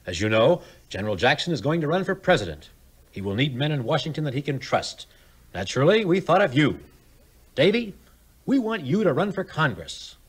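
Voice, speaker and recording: An actor playing a scheming military major turned politician, flattering and oily, with a husky voice in a 1950s audio play. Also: male voice, a little high, a little fast. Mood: oily flattery. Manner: flattering, unctuous.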